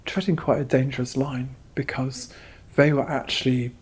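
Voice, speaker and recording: A man's voice: mid-range voice, level tone, normal speed, a little hiss or hum. A man speaking as a curator interviewee, explaining emphatically and repeating words as he goes.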